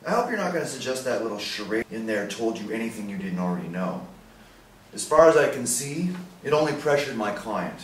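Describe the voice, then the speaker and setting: A man plays an indignant lawyer in a stage drama. He is combative and scornful, with a very lively pitch. He sounds distant in an echoey room with faint noise. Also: fairly quick, natural voice. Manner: forceful, scornful. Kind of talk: stage play reading.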